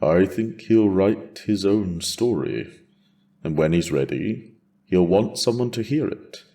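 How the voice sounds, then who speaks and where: Battle-worn dragon rider, reflective and mellow man acting. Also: low voice, slow, exaggerated voice. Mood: reflective, wry. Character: battle-worn male dragon rider, weary but hopeful father. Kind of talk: cinematic fantasy audio drama.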